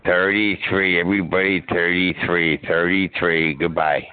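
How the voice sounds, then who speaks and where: A man's voice: low voice, little pitch movement, unhurried, smooth, no echo. A man on a phone chat line talking bluntly and crudely, repeating himself insistently. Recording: tinny phone line with crowd noise.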